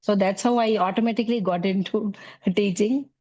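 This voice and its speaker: A middle-aged woman with an Indian English accent speaks in a relaxed, conversational way, often pausing on fillers like "you know" and "like". Her voice sits at a moderate pitch. The recording sounds slightly dull and lo-fi. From South India. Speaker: Hindi teacher, guest. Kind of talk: conversational interview.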